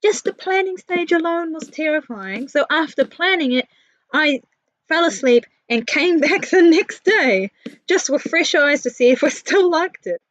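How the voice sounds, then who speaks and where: A woman's voice: sits high, lively intonation, a little slow, a bit bright, no echo, highs cut off. A woman with a New Zealand accent giving a casual, chatty voice-over for a gaming build vlog, candid and self-deprecating. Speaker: video creator, narrator.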